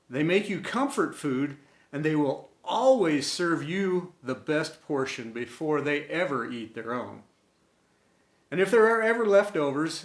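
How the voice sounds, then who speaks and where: A man reading a scripted inspirational tribute in an earnest, sentimental way, with deliberate dramatic pauses between short phrases. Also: a little high. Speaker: storyteller and host of an inspirational series. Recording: roomy, echoey sound.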